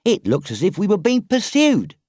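A man's voice: high-pitched, wildly swooping pitch, moderate pace, a little mellow, no echo, studio quality, voice pushed up, exaggerated voice. A man voicing a stern but kind-hearted male engine driver, speaking earnestly in a storybook reading. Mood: alarmed. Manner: earnest, urgent.

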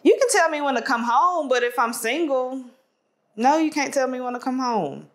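A woman's voice: high voice, lively intonation, moderate pace. A woman in her twenties chatting candidly as a guest, laughing often and using lots of fillers, recorded on a lapel mic. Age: 20s. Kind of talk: casual conversation.

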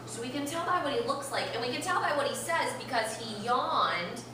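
A young woman teaches a read-aloud to small children in an expressive, instructive way, stretching out important words. Her pitch moves around a lot. The sound is very reverberant and noisy, picked up by a camera some distance away. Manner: expressive, instructive, with slowed and stressed key words. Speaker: primary school teacher. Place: elementary classroom, indoors. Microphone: far camera. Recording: roomy, noisy classroom, distant camera microphone.

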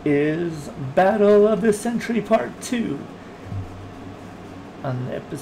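A man's voice: high voice, expressive, slow pace, vocal fry, mellow, a little hiss or hum, poor audio. A man hosting a radio stream, introducing the next program.

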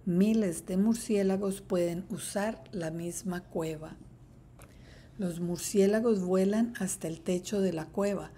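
A woman teacher reads a children's picture book aloud at an unhurried pace. Her voice sits a little low and mellow. A faint hiss runs under the recording. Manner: slow, careful read-aloud. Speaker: third-grade teacher.

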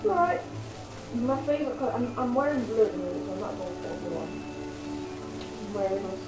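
A woman's voice: mid-range voice, fairly quick, music in the background. Rambling young woman narrating a game in an echoey room. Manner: rambling, filler-heavy chatter. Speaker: let's play host. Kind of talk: casual gameplay commentary. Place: home room, filmed from a TV screen.

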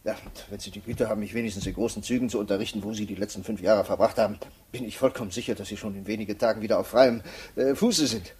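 An actor playing a polite, officious defence lawyer in a 1950s German radio play, formal, hesitant and placating. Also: male voice, fairly low pitch, steady tone, fast, hardly any pauses, darkish tone, slightly rough. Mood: polite, reassuring.

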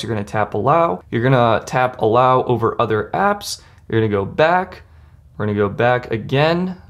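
An American man presenting a tech tutorial, talking through instructions step by step. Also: medium pitch.

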